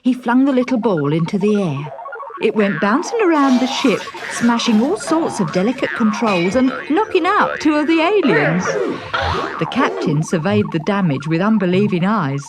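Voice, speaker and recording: A woman narrating a scripted children's story in a dramatic, expressive storytelling manner. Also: mid-range voice, normal speed, loud music behind the voice.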